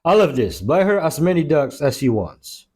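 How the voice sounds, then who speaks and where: Man, fairly high voice, non-native English, friendly reaction host. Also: big pitch swings, moderate pace, noisy background. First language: not English. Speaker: channel host reacting to clips. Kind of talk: casual reaction commentary.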